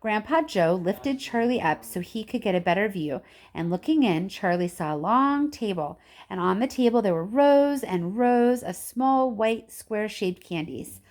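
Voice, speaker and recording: A woman's voice: medium pitch, lively intonation, measured pace, few pauses, a little mellow. A woman narrating a children's book expressively, like a teacher reading aloud. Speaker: story reader, teacher.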